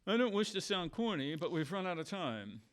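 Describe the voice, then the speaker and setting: A man's voice: high voice, very animated, fairly quick. A man playing an earnest scientist doctor in a science-fiction radio play. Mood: apologetic. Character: earnest male scientist doctor trapped in a time loop.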